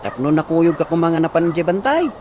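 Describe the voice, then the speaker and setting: Man as young village nephew, respectful, slightly high, lively intonation, radio drama, noisy birdsong backdrop. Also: a little fast, close to an everyday voice. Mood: concerned, helpful. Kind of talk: Ilocano radio comedy drama acting.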